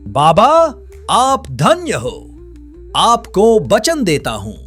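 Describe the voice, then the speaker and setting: A man's voice: high voice, huge pitch swings, very slow, fairly bright tone, husky, faint music behind, exaggerated voice. A man playing a humble, pious, poor villager, earnest and reverent, in a children's cartoon. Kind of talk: Hindi cartoon moral-tale voice acting.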